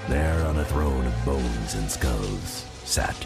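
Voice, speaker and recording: A man's voice: very deep voice, slow. A man narrating an adventure audio drama in a dramatic, suspenseful storybook style. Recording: loud music under the voice.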